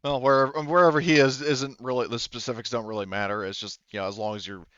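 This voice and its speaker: A man's voice: mid-range voice, steady tone, fast talker, a bit bright, dry recording. A man explaining his reasoning in a casual tabletop game discussion, often qualifying his points. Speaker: tabletop roleplaying game participant. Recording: slightly dull, lo-fi voice audio.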